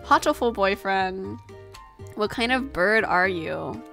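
A young woman livestreamer talks casually at a mid-range pitch, rambling and playful with a giggly streak. She sounds like she is at a desk mic in a small room at home, with faint music underneath. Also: expressive, slow, vocal fry, a bit bright. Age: young adult. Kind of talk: casual livestream chat while gaming. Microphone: desk microphone with headset.

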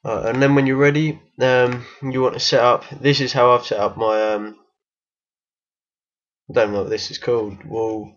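A man with a croaky voice from being unwell gives a casual, hesitant game tutorial voice-over. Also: medium pitch, little pitch movement, normal speed, highs cut off. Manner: hesitant, many ums and restarts. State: croaky from illness. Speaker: game guide maker.

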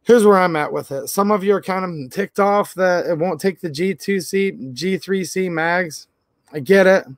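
Earnest high-voiced man, slightly raspy. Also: moderate pace, no room sound, clean studio sound. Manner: earnest, rambling, repeats "literally" and "like". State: slightly raspy from pollen and cold. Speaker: channel host. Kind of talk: casual livestream talk to viewers. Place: indoor workshop or garage.

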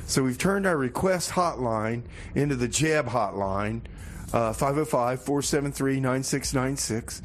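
Guy radio host, medium pitch, folksy and kinda theatrical, bit of noise behind him. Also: a little slow, hardly any pauses. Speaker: community radio show host. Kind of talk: radio music show with comedy theater. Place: community radio broadcast.

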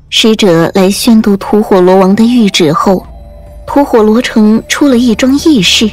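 A woman's voice: mid-range voice, a little mellow. Woman narrating a story over music. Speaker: audiobook narrator. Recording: music under the voice.

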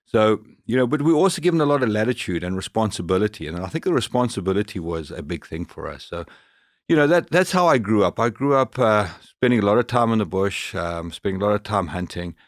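A man's voice: fairly low pitch, sing-song, quick pace, no echo, studio quality. A middle-aged man with a Zimbabwean accent, telling his background conversationally as an interview guest. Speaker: podcast guest, professional hunter. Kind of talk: conversational storytelling.

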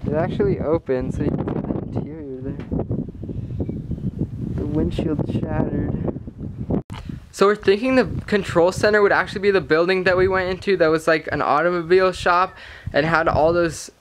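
A man's voice: on the high side, steady tone, normal speed, very noisy. A young man casually narrating as he walks through an abandoned base, describing what he finds. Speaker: urban explorer. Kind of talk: handheld exploring vlog narration. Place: abandoned missile base, indoors and out.

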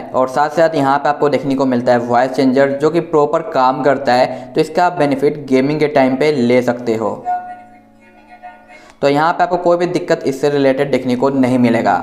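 A man's voice: mid-range voice, darkish tone. A man presenting an informal tech review in an explanatory, reassuring way. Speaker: tech reviewer, video host. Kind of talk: informal phone software review narration. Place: indoors, echoey room. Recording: roomy indoor echo.

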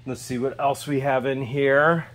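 A man's voice: medium pitch, steady tone, measured pace. An American man in his forties chatting casually in short, joking asides as he hosts a vlog. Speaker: vlog host, storage unit buyer. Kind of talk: casual chat while sorting through finds. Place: inside a metal storage unit. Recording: noisy handheld recording in storage unit.

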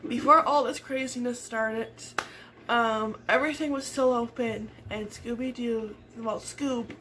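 A woman's voice: on the high side, a bit bright, slight background noise, rough recording. A woman in her thirties chatting casually to camera in her home kitchen, rambling and laughing often. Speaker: vlogger. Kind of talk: casual morning chat to camera.

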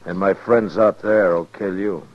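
A man's voice: low voice, fairly even intonation, a little slow. An American man playing a hard, unflinching Old West marshal, terse and commanding, in 1950s radio western style. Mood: calm, firm. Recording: muffled 1950s radio recording.

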